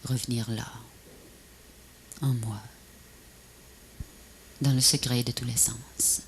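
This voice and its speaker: A woman recites poetry as a voice-over in a solemn, measured, breathy and often half-whispered delivery. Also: rumbling low, fairly even intonation. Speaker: poet narrator of a video poem. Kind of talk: poetic recitation, scripted voice-over. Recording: noisy VHS transfer, ambient noise.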